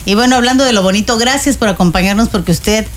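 A woman's voice: mid-range voice, fast. A middle-aged Mexican woman co-hosting a chatty live radio programme, affable and courteous to her listeners. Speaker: radio show co-host.

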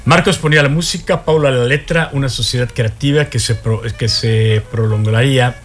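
Radio host reading a segment. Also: male voice, mid-range voice, steady tone, normal speed, faint music behind. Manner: brief affirmations, reads segment script. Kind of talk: radio hosting and script reading. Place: radio studio.

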